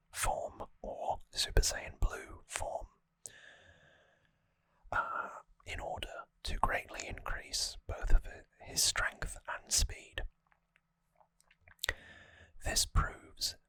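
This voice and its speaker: An ASMR host whispering an explanatory recap in a hushed, unhurried manner, close to the microphone. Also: no echo.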